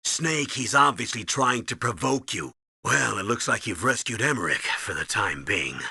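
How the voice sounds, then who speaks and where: A middle-aged colonel in a video game, stern and urgent. His voice is husky and sharply forceful, giving orders with earnest emphasis, recorded very dry. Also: fairly low pitch, lively intonation, moderate pace, no room sound, exaggerated voice. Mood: concerned. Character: stern, urgent middle-aged male military commander, anxious mentor.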